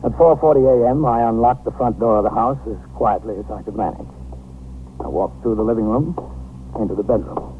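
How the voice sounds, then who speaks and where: An actor playing a weary, matter-of-fact police captain in a 1950s radio drama, speaking in a clipped, official manner. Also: male voice, mid-range voice, a little fast, phone-quality sound, close to an everyday voice. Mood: sober, matter-of-fact. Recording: noisy, thin 1950s radio broadcast recording.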